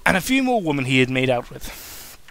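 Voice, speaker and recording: A man's voice: medium pitch, sing-song, a little fast, fairly bright tone. A man giving a comic amateur dramatic reading of fan fiction, informal and prone to mocking asides. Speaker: amateur narrator.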